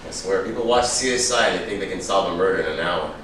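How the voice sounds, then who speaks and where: A man's voice: low-pitched, fast talker, fairly bright tone. A man playing a cool, defiant screenwriter with a sardonic, challenging manner in a staged reading. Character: cool, defiant male screenwriter suspected of violence. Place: room at a live staged reading. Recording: echoey room, distant mic, noisy.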